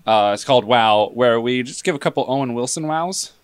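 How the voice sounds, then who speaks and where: Male host, deadpan, relaxed, dry close sound. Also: medium pitch, a little fast, clean tone, no room sound. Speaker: podcast host. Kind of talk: casual chat.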